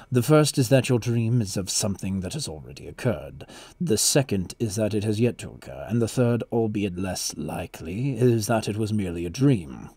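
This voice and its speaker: A man plays an analytical adviser in an audio drama. His husky voice has a creaky edge and expressive pitch, while his wording stays precise and formal. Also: dry recording, full character voice. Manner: methodical, formal. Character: precise, analytical young male royal adviser.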